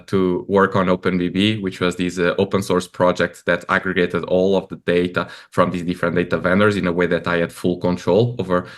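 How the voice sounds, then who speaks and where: Low-voiced man from Portugal. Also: fairly bright tone. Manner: loose and conversational, many fillers like "like" and "uh". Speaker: guest, startup founder. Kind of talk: casual interview talk.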